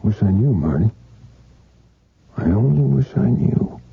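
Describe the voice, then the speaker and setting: A man's voice: on the low side, very animated, measured pace, creaky, slightly rough, heavily acted. An actor playing an obsessed man chasing a woman who vanished, in a radio drama, urgent and pleading. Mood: defensive. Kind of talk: 1980s radio drama acting. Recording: dull lo-fi radio broadcast with music.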